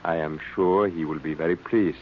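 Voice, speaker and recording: An actor playing a gentle, courteous, formal gentleman with a measured manner in an old radio serial. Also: low voice, lightly acted. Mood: warm, grateful. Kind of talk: 1930s-40s radio serial acting. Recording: tinny, noisy old radio recording.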